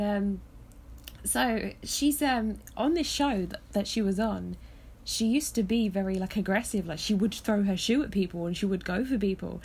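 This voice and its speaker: A woman hosting a podcast, chatting casually from her bed with a hoarse voice from illness. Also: mid-range voice, a little mellow. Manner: chatty, laughs often. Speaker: podcast host. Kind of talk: casual chat. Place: in bed at home.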